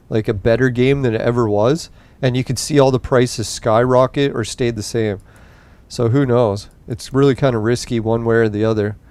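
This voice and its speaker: A young man with a smooth, clear voice and a tiring edge, rambling and cursing casually as he hosts a gaming show late at night. His sound is dry and close, with no room around it. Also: mid-range voice, darkish tone, no room sound. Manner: rambling, profane, laid-back. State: voice getting tired late at night. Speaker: gaming podcast host. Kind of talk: casual gameplay commentary.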